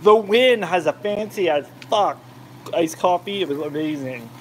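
A man's voice: high voice, animated, moderate pace, a little hiss or hum, rough recording. A man rambling and joking with his live chat while cooking in his home kitchen. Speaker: cooking vlogger. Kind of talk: casual cooking livestream chat.

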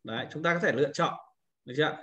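A man's voice: a little high, racing, pushed and bright, lo-fi sound. A man narrating an informal step-by-step screen-share tutorial as an instructor, explaining and often adding short confirming tags.

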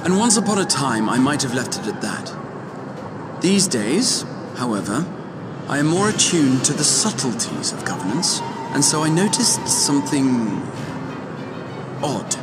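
Lively, eloquent scholar addressing a council. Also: male voice, mid-range voice, very noisy. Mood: measured, probing. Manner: articulate, formal, deliberate. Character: scholarly, measured young male catfolk investigator addressing a council. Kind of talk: dramatic video game dialogue.

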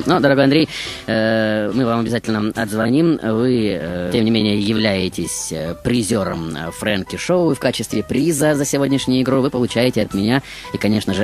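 Theatrical male radio host over music. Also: on the low side. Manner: showman-like, dramatic. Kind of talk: theatrical radio show presenting.

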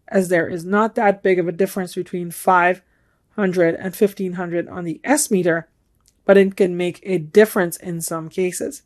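A middle-aged woman giving a measured, instructional talk to camera in a room at home. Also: medium pitch. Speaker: amateur radio video host. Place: home room with bookshelves, indoors.

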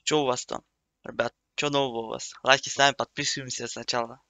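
A man's voice: medium pitch, little pitch movement, fast talker, sharp tone, dry recording. A man in his twenties chatting casually and swearing in slang as he streams a game from a small room. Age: 20s. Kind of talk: casual live-stream gaming chat.

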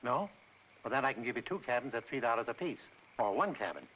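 A middle-aged actor playing a folksy, sly small-town cabin keeper in a 1940s film comedy. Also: male voice, mid-range voice, very animated, quick pace, natural voice. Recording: muffled old 1940s film soundtrack, faint noise.

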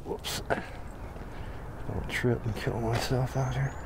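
Gravelly man walking and narrating outdoors. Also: medium pitch. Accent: American. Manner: relaxed, thinking aloud with frequent pauses and fillers. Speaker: videographer on a walking site tour. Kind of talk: casual walking commentary. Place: outdoor construction site in a city plaza. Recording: outdoor camera audio with noticeable background noise.

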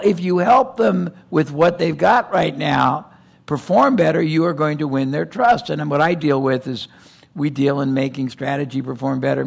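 An older man giving a keynote on a conference stage, talking in an animated way with quick digressions and restarts. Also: a little high, creaky. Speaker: keynote speaker. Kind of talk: conference keynote in seated interview format. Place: conference stage before a live audience.

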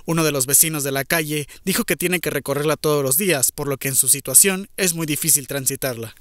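A man with a Mexican Spanish accent reading a scripted news voice-over in an even, formal tone. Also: fairly high pitch, quick pace, no room sound, clean studio sound. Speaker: news reporter.

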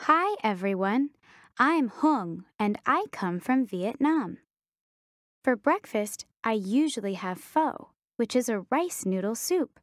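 Woman as friendly little schoolgirl, highish voice, careful textbook reading, clear simple phrasing, dry sound. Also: no echo. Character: friendly young Vietnamese schoolgirl describing family meals. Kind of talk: scripted language-textbook audio reading.

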